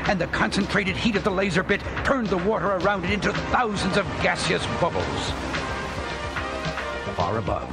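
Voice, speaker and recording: A man giving dramatic scripted narration as the narrator of a storybook. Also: fairly high pitch.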